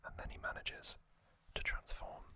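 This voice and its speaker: A man speaking entirely in a breathy, unvoiced whisper, telling a story in halting short phrases as an ASMR host. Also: sharp tone.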